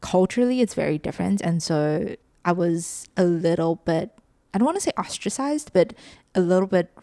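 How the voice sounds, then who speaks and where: Chatty woman with a gravelly low voice. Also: no echo, clean studio sound. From South Africa. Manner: chatty, filler-heavy, ends points with "right?". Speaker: podcast co-host. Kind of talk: casual chat.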